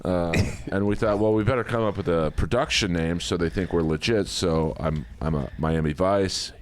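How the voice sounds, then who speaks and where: A man's voice: low voice, moderate pace, slight background noise. A man, a comedian guesting on a podcast, telling bawdy stories in a rambling, casual way and acting out lines from old TV jokes.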